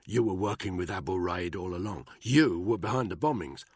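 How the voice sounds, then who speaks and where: A man's voice: sits low, lively intonation, brisk, slightly rough, no echo, studio quality, heavily acted. A man voicing a hard, furious soldier who growls with contempt. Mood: menacing.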